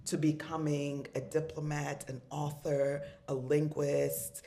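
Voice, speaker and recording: Low-voiced woman, chatty podcast guest. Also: slow pace, gravelly, slight background noise. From Congo. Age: young adult. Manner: animated, frequent "like" and "right?" fillers. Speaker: guest, fashion designer and entrepreneur. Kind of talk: casual podcast interview.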